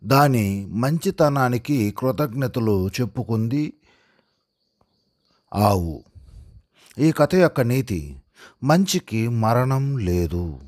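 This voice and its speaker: A man narrates a simple story as if dictating it for a writing lesson. His delivery is extremely slow and broken into single words and short phrases, with a lively rise and fall in pitch. The sound is close and dry, with very little room. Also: no room sound. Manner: deliberate, pausing word by word. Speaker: handwriting lesson presenter. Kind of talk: slow dictation-style storytelling read aloud while writing.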